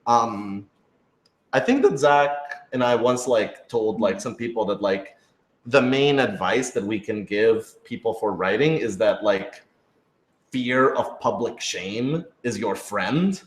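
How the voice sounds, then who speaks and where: A man's voice: on the low side, takes it slowly. Guy writer doing a casual q&a, rambly, says like a lot, roomy echo on it. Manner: rambling, heavy use of "like". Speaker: writer and producer of an audio drama. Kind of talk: casual Q&A chat. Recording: roomy, echoing indoor sound.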